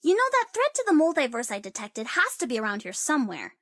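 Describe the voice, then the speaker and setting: High-pitched, cocky sorceress. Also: female voice, huge pitch swings, quick pace, fairly bright tone, dry recording, clean studio sound, exaggerated voice. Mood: flustered. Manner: theatrical, teasing, swinging into wry asides. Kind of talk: video game character voice lines.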